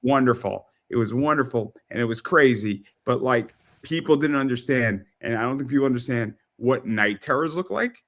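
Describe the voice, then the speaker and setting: A man in his thirties hosting a casual interview, who talks in quick bursts and repeats words as he gets worked up. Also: mid-range voice. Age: 30s. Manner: rapid, stumbling repetitions when excited. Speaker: host.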